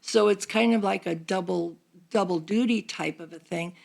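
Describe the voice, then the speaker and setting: A woman's voice: medium pitch, moderate pace, creaky, a little mellow. An older woman talking earnestly and explaining things in a casual group discussion as a member of a seniors' group.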